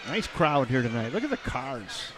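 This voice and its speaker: An older man doing play-by-play for a baseball game, chatty and folksy, with light jokes between pitches. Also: big pitch swings, moderate pace. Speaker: play-by-play announcer. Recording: crowd noise in the background.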